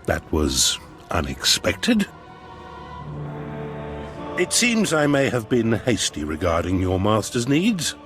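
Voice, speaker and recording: An actor playing a haughty, scheming Sith duke in a video game, with a pompous, formal, slippery manner. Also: male voice, lowish voice, wildly swooping pitch, slow pace, long pauses, music in the background, full character voice. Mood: ingratiating. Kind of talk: video game cutscene acting.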